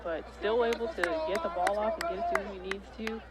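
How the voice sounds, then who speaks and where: A man's voice: sits high, fast talker. A man doing play-by-play for a college football game from a stadium press box, explaining each play at length. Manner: animated, explains the defense at length. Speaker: play-by-play commentator. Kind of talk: live football commentary. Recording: loud crowd and stadium noise. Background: loud crowd.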